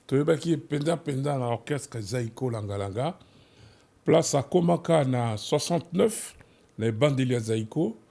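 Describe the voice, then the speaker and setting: A man's voice: medium pitch, creaky, warm tone. A man with a Congolese accent from Kinshasa presents and tells stories conversationally as a programme host, moving back and forth between two languages. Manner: switches between French and Lingala. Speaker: host of a music history programme.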